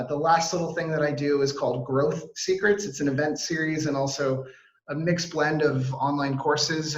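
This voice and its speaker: American man, 30s, keen webinar presenter, higher voice, echoey call audio. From Washington, D.C. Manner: upbeat, fast, filler-heavy, self-interrupting. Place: home or office on a video call. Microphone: video call mic.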